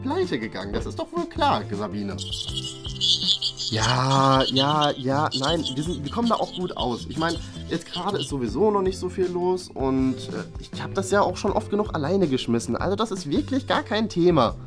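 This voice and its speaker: A young man acts out an eager, easily flustered father running a small café in a family comedy sketch. His pitch jumps around in an animated way as he hesitates and stumbles over words. Music plays clearly behind him. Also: moderate pace. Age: young adult. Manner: hesitant, stammering, excitable. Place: small indoor office room.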